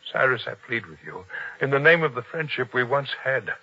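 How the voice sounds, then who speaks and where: A man's voice: mid-range voice, lively intonation, fairly quick, breathy edge. A man playing a devout, earnest guardian of a holy stable in a 1950s radio drama, speaking with reverence and pleading. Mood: pleading, indignant. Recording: muffled vintage radio drama recording, music behind.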